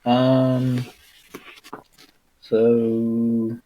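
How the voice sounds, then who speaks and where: A man streaming solo, reading aloud and chatting casually in an unhurried, rambling, wry manner. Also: medium pitch, monotone, warm tone, lo-fi sound. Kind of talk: audiobook-style reading and casual livestream chat.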